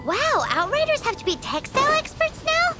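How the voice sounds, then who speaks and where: A woman voicing a tiny floating girl companion in a video game, excitable and speaking of herself in the third person. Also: extremely high pitch, normal speed. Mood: chirpy curious. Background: game music.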